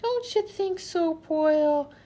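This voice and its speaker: A woman's voice: extremely high pitch, expressive, slow, warm tone, exaggerated voice. A woman playing a dim-witted, chattering vaudeville patient who rambles in folksy, ungrammatical speech in a radio comedy. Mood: chatty, oblivious. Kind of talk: old-time radio comedy acting.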